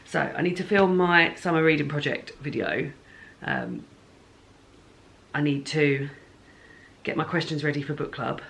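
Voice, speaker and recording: A woman's voice: deep voice, big pitch swings, measured pace, vocal fry, a bit bright. A woman chatting casually in a home vlog diary about her plans and reading, rambling and correcting herself as she goes. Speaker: book vlogger.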